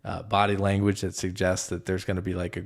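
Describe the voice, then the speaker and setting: Low, gravelly-voiced male podcast guest. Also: completely flat delivery, fast talker. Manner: hesitant, many fillers and restarts. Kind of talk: casual conversation.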